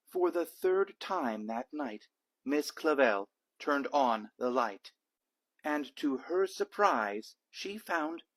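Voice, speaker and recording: A man reading a children's picture book aloud as a dad would, in measured, rhythmic rhyme. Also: medium pitch, rough recording. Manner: measured, rhythmic rhyming delivery. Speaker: read-aloud storyteller, a dad.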